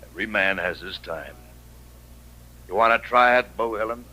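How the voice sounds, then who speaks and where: An actor playing a calm, fearless hired gunman in an old American radio western, terse and deliberate with a cool menace. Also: on the low side, rough recording. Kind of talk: 1950s radio western acting. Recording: old radio recording with music.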